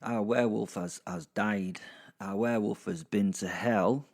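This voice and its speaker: Chatty, low-voiced man rambling to listeners. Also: fairly even intonation, measured pace, a little mellow. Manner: chatty, self-interrupting, dryly jokey, sweary. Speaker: podcast host and narrator. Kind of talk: casual rambling recap to listeners. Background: music under the voice.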